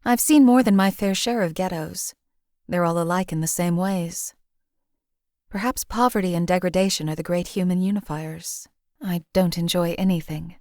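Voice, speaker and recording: A woman voicing a cold, emotionally hollow female assassin in a game, speaking in a flat, detached and formal way. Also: on the low side, no echo, studio quality, lightly acted. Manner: flat, detached, coolly deadpan; measured, formal phrasing. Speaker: voice actor for a game companion. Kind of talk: recorded game voice lines.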